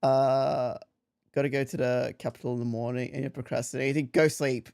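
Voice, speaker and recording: A man's voice: medium pitch, level tone, normal speed, vocal fry, a little mellow, clean tone. A young man from Australia chatting casually on a live game stream, rambling with frequent laughs and restarts. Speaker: livestreamer. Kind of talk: casual live-stream chat while gaming.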